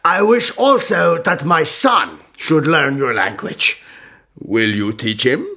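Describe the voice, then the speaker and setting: An actor playing a shrewd, imperious medieval sultan in a historical radio drama, measured and questioning. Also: male voice, on the high side, lively intonation, sharp tone, full character voice. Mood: satisfied, commanding.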